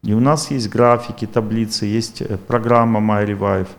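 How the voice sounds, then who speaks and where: A man's voice: lowish voice, level tone, normal speed. A man lecturing an audience and taking their questions, talking in a rambling, repetitive but self-assured way.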